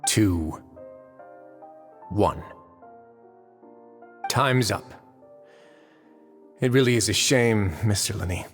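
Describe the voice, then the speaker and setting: Suave, menacing low-voiced fortress lord. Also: male voice. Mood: cold dismissal. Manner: measured, courteous, sardonic, menacing. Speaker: voice actor in a dubbed video game. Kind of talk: theatrical game cutscene dialogue. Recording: long reverb under game music.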